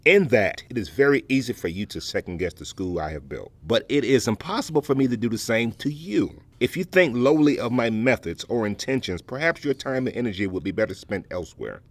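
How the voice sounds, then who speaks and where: An actor playing a dignified, proud Southern educator and orator in an audio play, speaking in a formal, measured, courteous way. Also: male voice, mid-range voice, expressive, natural voice. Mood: earnest, firm. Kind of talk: dramatic audio play dialogue.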